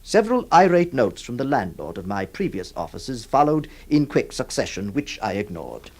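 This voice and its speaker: An actor playing a troubled, kindly lawyer who narrates and stammers with many hesitations. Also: male voice, mid-range voice, very animated, moderate pace, a little mellow, husky. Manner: hesitant, stammering, full of uh's. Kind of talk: old radio drama acting.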